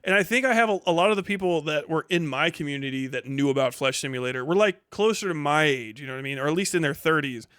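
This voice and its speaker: A man's voice: a little high, fast, hardly any pauses, fairly bright tone, clear, resonant tone, no room sound, clean studio sound. A man in his thirties doing casual livestream commentary and reading chat in a blunt, sweary, incredulous way. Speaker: live streamer.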